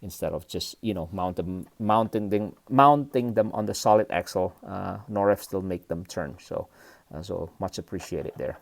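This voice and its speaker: A man from Indonesia with a slightly low voice hosts a casual, unscripted review. He talks haltingly in short, broken phrases, often tagging them with a small questioning check-in. The sound is very dry, with no room echo. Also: vocal fry. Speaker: host of a model car review channel.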